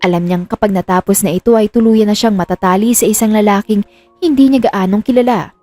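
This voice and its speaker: A woman's voice: medium pitch, fairly quick, dark tone. A woman reading scripted narration of a romantic drama as the storyteller. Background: music.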